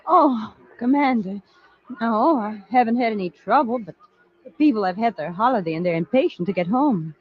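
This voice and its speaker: Crisp space security officer, lo-fi. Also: female voice, on the high side, lively intonation, moderate pace. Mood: businesslike. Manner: crisp, courteous. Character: efficient, polite female space security officer. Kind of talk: old-time radio sci-fi acting. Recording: dull lo-fi old radio recording.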